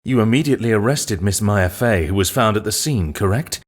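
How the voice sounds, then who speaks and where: An actor playing a stern, formal judge with a husky voice in a video game dub. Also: male voice, fairly low pitch, lively intonation, a little fast. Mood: stern, inquiring.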